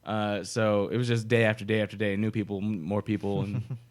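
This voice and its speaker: A man's voice: on the low side, level tone, brisk. A young man co-hosting a podcast, chatting casually and telling stories with frequent laughs. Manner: chatty storyteller, laughs often. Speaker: podcast co-host. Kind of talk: casual chat.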